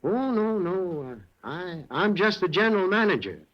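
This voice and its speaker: An actor playing a hesitant, stammering general manager in an old radio drama. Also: male voice, high voice, sing-song, normal speed. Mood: uneasy. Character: nervous evasive male mill manager. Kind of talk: 1940s radio acting. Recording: dull old radio recording.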